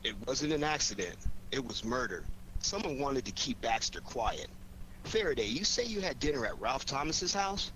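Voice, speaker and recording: An actor playing a cool, needling, self-assured amateur sleuth with an American accent in a 1940s radio drama. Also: male voice, medium pitch, sing-song, normal speed, close to an everyday voice. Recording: faintly noisy 1940s radio recording.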